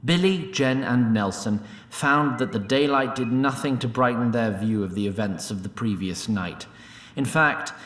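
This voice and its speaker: A man's voice: lowish voice, fairly bright tone, roomy sound. A man narrating a scripted fantasy tale in a theatrical, mock-grand and droll storyteller's manner.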